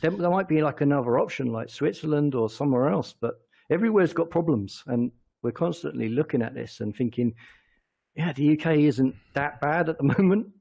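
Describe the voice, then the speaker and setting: A man with an English accent answering casually as an interview guest, halting and often correcting himself mid-sentence. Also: a little high, expressive, creaky, mellow, breathy edge. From England. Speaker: interview guest, company chief executive.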